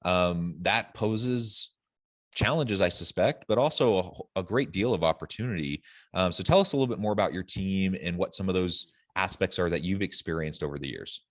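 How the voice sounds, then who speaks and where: A man with a deep voice hosts an interview podcast. He talks conversationally, as someone steering a discussion with a guest. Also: normal speed. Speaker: podcast host.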